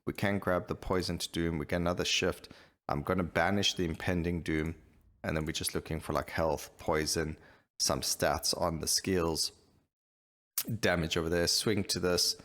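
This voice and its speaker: A man's voice: low voice, fairly even intonation, normal speed, a bit bright. A man giving casual, unscripted commentary as he plays a video game. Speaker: gaming video host. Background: game music.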